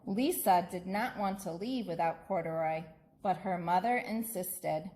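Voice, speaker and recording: A woman narrating a children's bedtime story in an expressive, deliberate manner. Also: fairly low pitch, slight background noise. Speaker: school staff member reading aloud.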